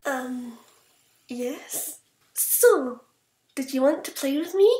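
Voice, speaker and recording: Woman as a very high-pitched sweet forest girl. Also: animated, slow, mellow, roomy sound. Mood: shy, playful. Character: gentle young magical forest girl, naive, playful, fiery when hurt. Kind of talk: voice acting in a comic fan dub.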